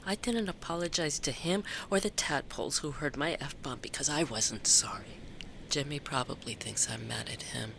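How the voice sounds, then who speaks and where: A grieving mother in a radio play monologue, raw and confessional. Her voice sits fairly low and moves slowly, between hushed self-blame and fierce resolve. Clear ambient noise runs behind her. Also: full character voice. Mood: self-reproachful. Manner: raw, confessional, swearing, swinging from hushed to intense. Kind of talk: dramatic monologue in an audio play.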